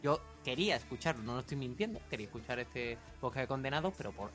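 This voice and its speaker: A Spanish man hosts a podcast in a loose, rambling manner, talking straight to his listeners. Soft music sits faintly behind him. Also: mid-range voice, animated, quick pace. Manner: rambling, chatty, asks listeners for feedback. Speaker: podcast host. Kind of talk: casual chat.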